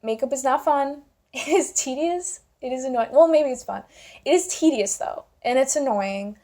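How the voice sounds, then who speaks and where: A woman's voice: high-pitched, moderate pace, dark tone. A young woman giving her opinions casually in a vlog, with an informal, wry way of talking. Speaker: video commentator.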